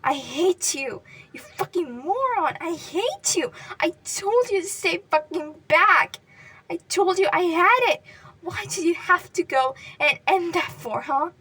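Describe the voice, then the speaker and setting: A woman voicing a furious, grieving, hot-tempered young man who yells and curses at an unmoving friend, his voice breaking. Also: on the high side, moderate pace, slightly rough, distant mic. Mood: furious yelling. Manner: yelling, cursing, voice breaking. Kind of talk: dramatic fan fiction reading.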